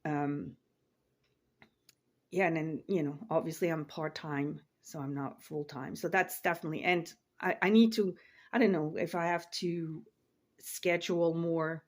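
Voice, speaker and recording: A woman's voice: deep voice, fairly even intonation, unhurried. A woman in her forties from Switzerland, chatting casually to camera in her home office, rambling and repeating words as she trails off. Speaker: online reseller and vlogger.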